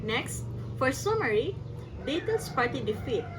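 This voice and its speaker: Female Filipino student presenter, lively pitch, scripted, recorded far from mic with noise. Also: unhurried. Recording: distant mic, clear background noise.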